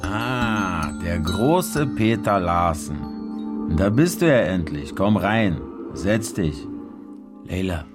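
An actor playing a smug, mock-friendly underworld host in a radio drama, drawling with condescension. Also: male voice, sits low, lively intonation, slow, music in the background. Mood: smug, condescending. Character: smug, menacingly jovial male underworld host named Omar.

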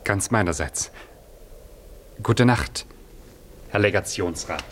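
An actor in a radio play playing a deferential, polite gentleman who speaks in a formal, understated manner. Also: deep voice, lively intonation, slow pace, a little hiss or hum, exaggerated voice. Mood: courteous, reserved. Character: courteous, deferential gentleman, quietly polite and measured. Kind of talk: German radio play acting.

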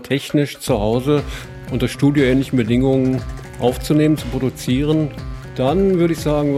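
A middle-aged man giving thoughtful interview answers, deliberately searching for his words. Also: medium pitch, mellow. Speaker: interviewee, cassette culture radio host and musician.

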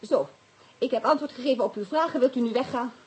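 An actress playing a haughty, evasive, indignant suspect in a radio play, with a husky voice and a Netherlands Dutch accent. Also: female voice, a little high, fast talker, rough recording. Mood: defiant, evasive. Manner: curt, indignant, stonewalling. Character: defiant, evasive, haughty female suspect under arrest.

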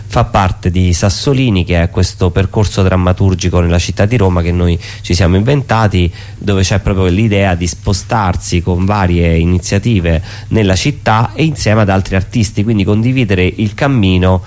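A man speaking as a theatre performer in a casual radio interview, explaining things in a wordy, halting way. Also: deep voice, a little mellow.

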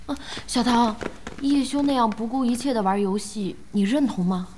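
A woman playing an outspoken, emotional young gaming fan in a radio drama, earnest and expressive. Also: a little high, normal speed, a little hiss or hum, close to an everyday voice. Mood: weary. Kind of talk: Chinese radio drama acting. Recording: music behind the voice.

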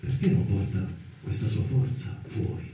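An actor playing a musing, philosophical narrator in a theatrical radio monologue, solemn and deliberate with rhetorical pauses. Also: male voice, low-pitched, warm tone, slight background noise. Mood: pondering. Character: narrator-thinker musing on the universe, then addressing Freud. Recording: distant, very echoey radio theatre with music.

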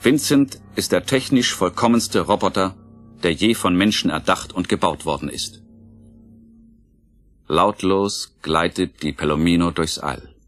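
A man narrating a radio play with solemn, dramatic and deliberate storytelling. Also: deep voice, fairly bright tone, faint music behind.

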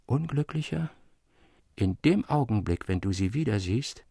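A man's voice: mid-range voice, lively intonation, unhurried, creaky, warm tone, husky, no echo, full character voice. Storybook role: ominous mechanical oracle, done by a male reader, gloomy and portentous, dry close sound.

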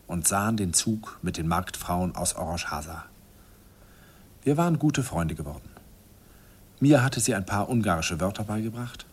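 A man's voice: sits low, a little hiss or hum. An actor playing a wistful man who narrates memories of his youthful love in a confiding, self-mocking radio play style. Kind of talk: intimate first-person radio play narration.

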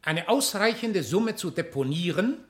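A man's voice: high voice, lively intonation, a little slow. An actor playing a fussy, deferential banker in a German radio drama, formal and proper in his speech. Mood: businesslike dictating. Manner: formal, obsequious to his betters.

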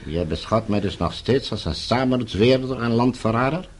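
An actor playing a calmly menacing middle-aged official in a radio play, measured, polite and condescending. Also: male voice, mid-range voice, slightly rough. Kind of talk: Dutch radio play acting.

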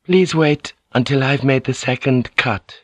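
A man playing a tense, dutiful samurai swordsman in an audiobook, husky and formal in manner. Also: medium pitch, a little slow, dry recording, pitched above the performer's own voice, close to an everyday voice. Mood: taut, poised. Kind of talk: dramatic audiobook character voice.

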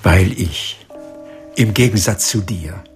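An unyielding older patriarch in a radio drama. He speaks deliberately and reproachfully, with faint music behind him. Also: low voice. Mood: bitter, defensive. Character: older patriarch, protective father, bitter, unyielding.

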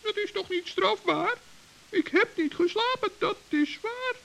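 A man playing a small, proud, excitable forest gnome in a children's radio play, chatty and self-important, with a squeaky voice. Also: a little slow, exaggerated voice. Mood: puzzled, defensive. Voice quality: high, creaky, squeaky. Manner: chatty, self-important, stammers when flustered.

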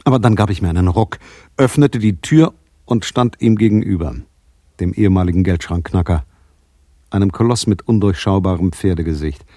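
An actor playing a shrewd, cool-headed private detective in a radio crime play, husky and matter-of-fact. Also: male voice, sits low, a little fast, mellow, dry recording, clean studio sound. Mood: terse narration. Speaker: lead detective and narrator. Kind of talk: 1980s German radio play acting and first-person narration.

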